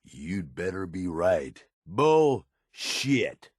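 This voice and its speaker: Jaded middle-aged American raider for a game: deep, raspy, gruff and blunt, recorded close and dry. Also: male voice, very animated, extremely slow, dry recording, full character voice. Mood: angry, scornful. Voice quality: husky, gravelly low voice. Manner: gruff, blunt, folksy tough talk. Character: jaded, foul-mouthed middle-aged male raider right-hand man. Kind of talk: video game companion dialogue.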